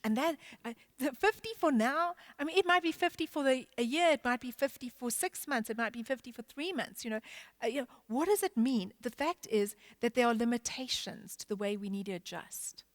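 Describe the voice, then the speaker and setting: Woman church leader, somewhat high voice, hesitant and searching, dry close sound. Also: dry recording. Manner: halting, many restarts and fillers. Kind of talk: panel discussion.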